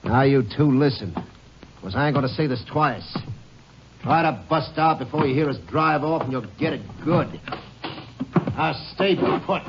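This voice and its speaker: A man's voice: fairly high pitch, normal speed, close to an everyday voice. An actor playing a ruthless gang-boss gunman in a 1950s radio drama, giving curt, threatening orders. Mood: cold menace. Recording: dull 1950s radio broadcast, faint hiss.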